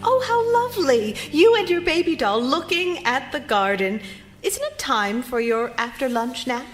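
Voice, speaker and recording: A woman's voice: high-pitched, lively intonation, moderate pace, soft background music. A woman playing a proper, chatty, doting sister-in-law in a theatrical live radio drama style. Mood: breezy. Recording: noisy live theater stage recording.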